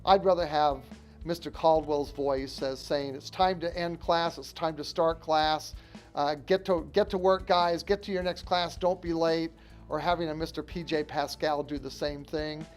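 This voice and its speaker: A man in his sixties with a fairly high voice talks informally, as a long-serving school staffer looking back. Guitar music is clearly audible behind him. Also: steady tone, normal speed. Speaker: veteran school staff member. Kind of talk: reminiscing interview talk.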